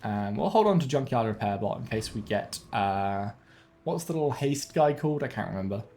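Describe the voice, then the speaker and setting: Young man, game streamer, slightly stuffy nose, relaxed thinking aloud, faint music behind. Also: on the low side, brisk. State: sniffly, bunged up. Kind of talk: gameplay commentary. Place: home room with webcam.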